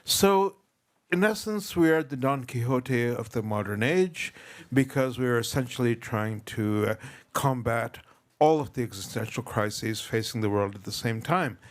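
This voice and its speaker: A man giving a formal panel introduction in measured, rhetorical phrases. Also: medium pitch, animated. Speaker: panelist, think tank director.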